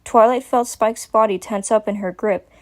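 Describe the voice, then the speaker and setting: A woman's voice: medium pitch, steady tone, moderate pace, a little mellow. A woman narrator reading a story aloud in a dramatic, scripted storytelling style. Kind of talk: dramatic fan fiction reading.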